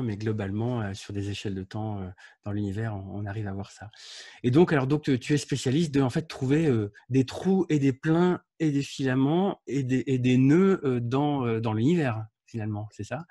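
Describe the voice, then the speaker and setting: Middle-aged man interviewer, mellow, dry sound. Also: mid-range voice, brisk, dry recording. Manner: thinks aloud, rephrases, repeats words. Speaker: interviewer, festival host. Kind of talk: live-streamed video call interview. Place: study with bookshelves.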